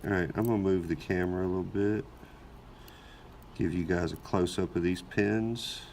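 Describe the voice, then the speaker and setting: A man with a very deep, gravelly voice, still recovering from a cold, talks through his work at an easy pace as if thinking aloud. A faint hiss sits under the recording. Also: moderate pace. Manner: relaxed, thinking aloud while working. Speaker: lockpicking channel host. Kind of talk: casual hands-on narration.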